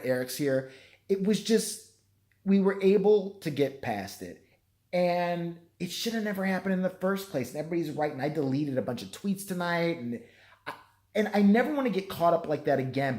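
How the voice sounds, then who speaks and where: A tired man with a hoarse, nearly gone voice, rambling and swearing through a self-deprecating late-night vlog. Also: fairly high pitch, a little mellow. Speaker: vlogger.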